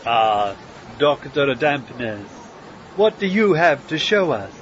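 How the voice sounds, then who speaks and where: A man playing a jaded, condescending judge in an audio comedy, with a drawn-out, mock-polite, disdainful manner. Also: mid-range voice, sing-song. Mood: bored disdain. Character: jaded, condescending male science fair judge. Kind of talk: comedic audio drama acting. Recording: dull, noisy ambience.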